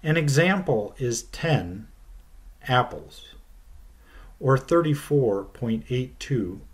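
Slow, deliberate male teacher. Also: medium pitch, expressive. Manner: slow, deliberate explaining with falling phrase ends. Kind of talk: recorded video lecture. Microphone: webcam at desk.

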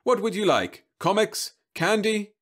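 A man's voice: high voice, animated, unhurried, fairly bright tone, voice pushed up, heavily acted. Roby, a man doing a chirpy sales robot. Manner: chirpy sales patter, oblivious. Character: cheerful male vending robot, relentlessly salesy.

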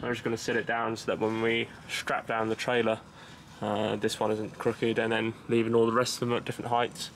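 Young male sailing vlogger, casual on-the-job commentary, action-cam audio with clear water and wind noise. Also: lowish voice, monotone, brisk. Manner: chatty, running commentary while working on a boat. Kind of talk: casual vlog narration. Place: outdoors on a sailboat and boat ramp. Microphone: action camera. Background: boat, water and wind noise.

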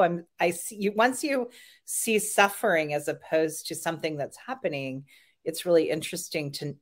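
A woman's voice: low-pitched, fairly bright tone. A woman hosting a podcast who asks curious, thoughtful questions with plenty of "um"s. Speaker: podcast host. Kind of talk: interview.

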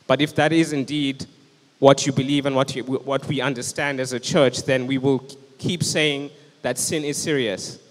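A young man delivers an earnest, urgent sermon into a handheld microphone. His mid-pitched voice rings out with a long hall reverb on the church stage. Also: steady tone, normal speed. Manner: earnest, urgent preaching, standing with a handheld mic. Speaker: preacher. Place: church stage with band instruments, indoors.

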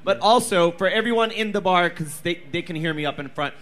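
A man's voice: high voice, fairly quick, a bit bright. A man hosting a bar Q&A, brash and laughing as he makes announcements to the crowd. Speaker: event host.

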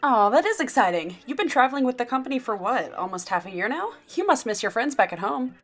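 A bossy cruise ship manager with a crisp, businesslike delivery, played by a woman. Her voice is slightly high and lively in pitch. Faint music hums behind her. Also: quick pace. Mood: brisk.

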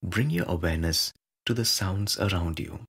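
A man with a low, creaky and husky voice leads a guided meditation. He is calm and measured, giving soothing instructions in short phrases with long pauses between them.

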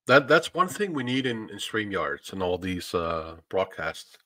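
A man's voice: deep voice, big pitch swings, measured pace, sharp tone. A man chatting casually as a guest on a live stream, tossing in quips. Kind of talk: casual chat.

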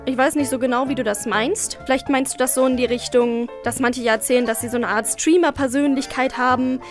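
A woman's voice: high voice, fairly even intonation, brisk, faint music behind. A young woman hosting a Q&A as a VTuber, reading out fan questions and answering with playful sarcasm and jokes. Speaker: VTuber host.

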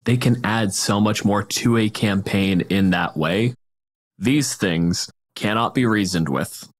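A man's voice: lowish voice, slow. A man in a casual panel discussion about tabletop games, explaining things at length in vivid descriptions. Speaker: podcast panelist.

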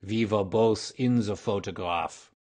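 A man's voice: lowish voice, unhurried, a little mellow. A man voicing a proud Bohemian king in a storybook, speaking in a formal, pompous and emphatic manner. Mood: insistent. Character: proud, agitated, aristocratic Bohemian king in disguise.

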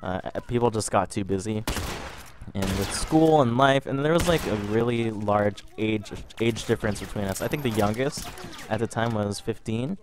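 Young man, a bit under the weather, casual rambling storyteller over game footage, low-pitched. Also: normal speed, background noise. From Canada. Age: young adult. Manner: rambling, repeats words and restarts often. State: a little sick. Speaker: gaming vlogger.

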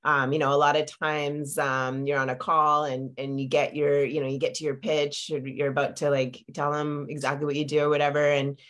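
A woman's voice: low voice, level tone, brisk, hardly any pauses, fairly bright tone. A woman in her forties, a business coach, talking live over a video call from her home office, a little congested with a lingering cough, chatty and full of fillers and tag questions. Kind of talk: live-streamed coaching talk. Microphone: video call webcam.

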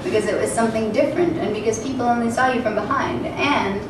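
A woman's voice: fairly high pitch, quick pace. A woman in her thirties reading comic fiction aloud in a staged dramatic reading. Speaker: reader at a literary event. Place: stage with curtains before an audience. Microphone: microphone on a table stand. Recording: very echoey hall, distant, clearly noisy.

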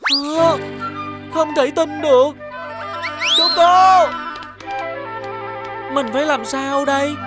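A woman's voice: sits high, slow pace, long pauses, loud music behind the voice. A woman voicing a playful, careless young boy who chatters eagerly in a dubbed cartoon. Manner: eager, excitable child's chatter. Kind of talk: cartoon dubbing.

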